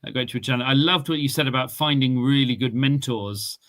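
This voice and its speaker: A man's voice: mid-range voice, a little fast, fairly bright tone. A man in the audience asking a question, thanking the speaker first and hesitating with restarts and "um". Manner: hesitant, appreciative, restarts and "um" fillers. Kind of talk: casual Q&A question.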